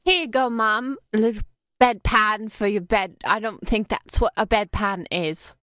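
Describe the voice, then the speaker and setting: A woman's voice: mid-range voice, a little slow, fairly bright tone, no echo, studio quality, close to an everyday voice. A woman playing a dutiful but restless young daughter in an improvised comedy fan dub. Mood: apologetic.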